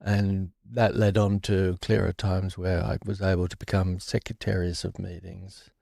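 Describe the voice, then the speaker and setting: A man in his forties, born in Ireland and raised in London, speaking as a guest in a recovery interview in a reflective, halting way with frequent restarts. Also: low voice, flat delivery, creaky, mellow, no echo, clean studio sound.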